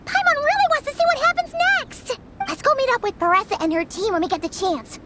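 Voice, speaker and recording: A woman voicing an excitable, tiny childlike fairy companion in a video game, with a squeaky, exclamatory delivery. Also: fast talker, heavy background noise. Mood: excited, eager. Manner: refers to self in third person, exclamatory. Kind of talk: video game character acting. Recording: loud game music behind.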